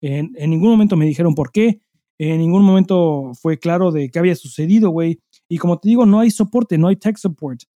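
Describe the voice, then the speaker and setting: Mexican man, notably mellow, slangy podcast host. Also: a little high, a little fast, dry recording, muffled recording. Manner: informal, heavy slang and swearing. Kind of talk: casual conversational storytelling.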